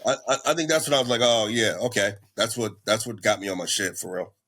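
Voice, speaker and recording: A man, a rapper, talking in a laid-back, slow way with many fillers in a casual interview. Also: fairly low pitch, animated, fairly bright tone.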